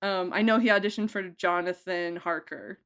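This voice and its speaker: A woman producer and director giving a casual interview, animated and laughing often as she gushes about the work. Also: on the low side, normal speed, clear, resonant tone.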